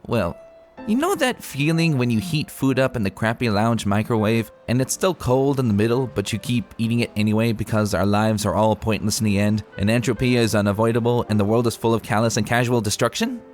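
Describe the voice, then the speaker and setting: A man's voice: lowish voice, brisk, natural voice. A man voicing a brash, playful young female pegasus pony with a breezy, cheeky manner in a fan fiction reading. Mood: joking, animated. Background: music.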